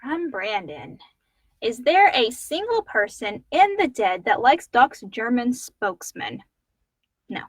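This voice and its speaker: A woman's voice: a little high, very animated, takes it slowly, slightly rough, no echo, rough recording. A woman co-host reading questions aloud and chatting casually about them.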